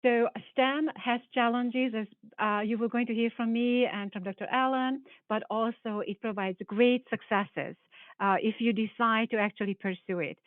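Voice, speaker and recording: Hungarian woman, professor presenting to teens, earnest and halting, thin telephone audio. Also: fairly high pitch, fairly even intonation, no room sound. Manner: hesitant, restarts phrases, earnest. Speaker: biology professor. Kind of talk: panel presentation with slides. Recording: tinny phone-like call audio.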